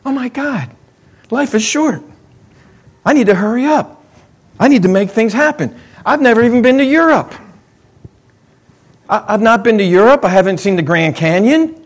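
A man with a high-pitched voice delivers a sermon to his congregation. He is middle-aged and talks casually, rising into eager emphasis and then dropping into low, mumbled asides. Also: moderate pace, long pauses. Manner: conversational, swinging between excited highs and muttered asides. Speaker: pastor.

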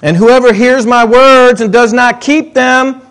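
High-pitched middle-aged guy preaching, chatty, goes from excited to mumbly asides. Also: unhurried. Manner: conversational, swinging between excited highs and muttered asides. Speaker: pastor. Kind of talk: sermon.

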